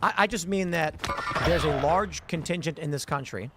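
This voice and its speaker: A man's voice: fairly high pitch, over loud music. A man hosting a casual political talk show, reading news aloud and often restarting his sentences. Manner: halting, many restarts. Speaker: podcast host.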